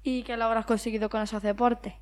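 Higher-pitched Spanish woman interviewer. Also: level tone, fast, a little mellow. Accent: Spanish (Spain). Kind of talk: prepared interview questions.

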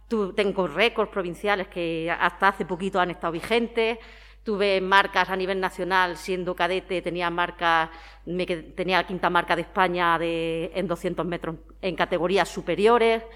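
This is a woman in her forties with a Spanish accent from Spain. Her voice sits a little low for a woman. She talks freely and conversationally, answering as an interviewee and explaining things through examples. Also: fairly bright tone. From Madrid, Spain. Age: 40s. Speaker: interviewee, former athlete and town sports councillor.

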